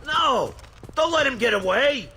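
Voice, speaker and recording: An actor playing a bitter, distrustful colony mechanic in a video game, blunt and agitated, with a gravelly voice. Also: male voice, very high voice, expressive, a little slow, pushed and bright, exaggerated voice. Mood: panicked anger.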